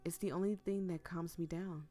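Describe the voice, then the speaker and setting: A woman's voice: lowish voice, fairly even intonation, moderate pace, warm tone, soft background music, natural voice. A woman with a cold-worn voice voicing a sweet, anxious young student boyfriend in a visual novel, speaking earnestly. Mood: earnest confiding. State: losing voice with a cold.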